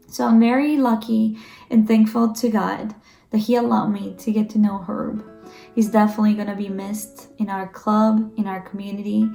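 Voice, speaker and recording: A woman's voice: medium pitch, gravelly, mellow. A woman from Ecuador, a Rotary exchange coordinator recording an earnest tribute message with some hesitations. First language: Spanish. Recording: roomy echo with faint guitar.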